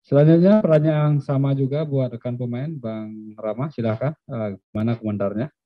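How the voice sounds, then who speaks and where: A man moderating a press conference, briskly reading out reporters' names and their questions. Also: medium pitch. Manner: brisk, announcing names and outlets. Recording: dry, dull lo-fi stream audio.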